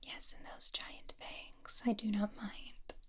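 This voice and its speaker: A woman voicing a gentle, bookish young woman who is doting and kind, speaking in a breathy whisper for an ASMR roleplay, soft, tender and reassuring. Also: mid-range voice.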